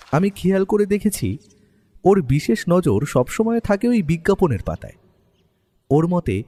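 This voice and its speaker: Brief: a man playing a first-person narrator in a radio drama, mellow voice rising and falling, long reverb over soft music. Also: on the high side. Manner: lively, expressive storytelling. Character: the detective's male companion and writer, first-person narrator. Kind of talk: storytelling narration in an audio drama.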